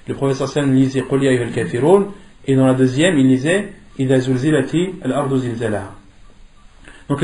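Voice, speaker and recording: A man's voice: a little high, darkish tone. A man giving an interactive religious lesson, posing questions in a didactic way and repeating key phrases for emphasis. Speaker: religious teacher. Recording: echoey room.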